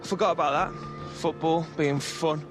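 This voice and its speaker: An actor as a cocky English lad, high-pitched. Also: male voice, fairly even intonation, moderate pace, music in the background, natural voice. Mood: upbeat, joking. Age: young adult. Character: cocky, chatty young male footballer, jokey best mate. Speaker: actor in a football game's story mode. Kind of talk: naturalistic cinematic game dialogue.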